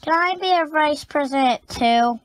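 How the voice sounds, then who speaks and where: A woman's voice: high-pitched, moderate pace, dry recording, pitched above the performer's own voice, close to an everyday voice. A woman voicing squabbling, competitive young creatures in a children's storybook, insistent and whiny.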